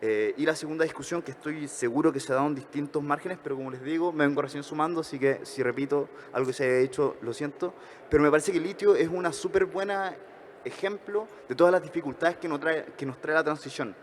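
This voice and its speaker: A man with a Chilean accent giving reflections at a conference workshop, talking fast with frequent hesitations and self-corrections. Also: fairly high pitch, long reverb tail, slight background noise. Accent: Chilean Spanish. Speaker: conference speaker.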